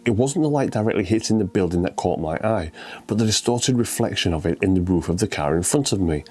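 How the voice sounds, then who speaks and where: A deep-voiced, middle-aged man talks through his photography. His voice is stuffy and a little croaky from a cold. He keeps it relaxed and conversational, with the odd filler. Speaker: photography video host.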